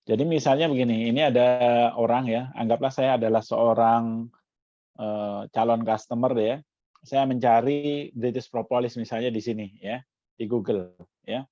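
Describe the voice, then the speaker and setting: A man's voice: mid-range voice, steady tone, moderate pace, warm tone, dry recording, muffled recording. A man from Jakarta coaching a community workshop over a video call, explaining step by step with frequent "ya" tags. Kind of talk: online workshop demo.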